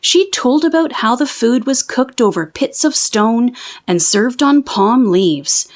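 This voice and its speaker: Female storybook reader for children, animated delivery with a very varied, fairly high pitch. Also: slow. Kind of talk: children's read-aloud narration.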